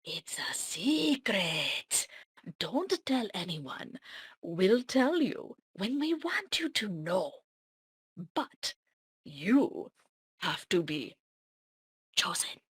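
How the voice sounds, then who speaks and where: A cryptic fantasy warrior woman played by a woman in a table read, husky and unhurried. Her delivery is grave and dramatic, with pitch that swings widely as she shares secrets. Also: very animated, fairly bright tone, heavily acted. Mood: secretive.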